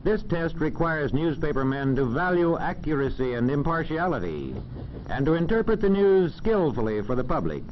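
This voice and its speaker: An American man narrating a 1940s educational film in a formal, measured, didactic manner. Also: on the high side, big pitch swings. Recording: dull, faintly noisy old film soundtrack.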